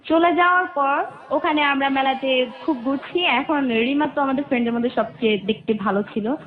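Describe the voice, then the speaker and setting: A woman's voice: high voice, moderate pace. A young woman with a Bangladeshi accent telling a personal story casually as a radio guest, acting out what people said. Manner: animatedly quotes friends' dialogue. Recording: thin, phone-like band-limited sound.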